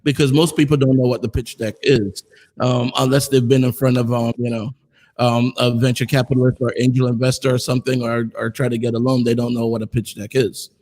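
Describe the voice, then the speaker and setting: A man's voice: mid-range voice, little pitch movement, brisk, a little mellow, rough recording. A man hosting an interview, asking rambling casual questions full of fillers. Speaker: interviewer, host.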